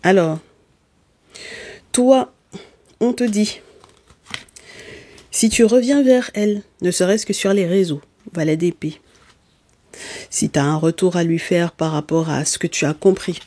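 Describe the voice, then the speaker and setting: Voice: mid-range voice, a little slow, pauses often, dark tone, no echo. Emphatic tarot reader, not a native speaker. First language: not French. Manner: emphatic and scolding, often checks in with "okay?"; speaks directly to listeners with terms of endearment. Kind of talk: spoken tarot reading addressed to viewers.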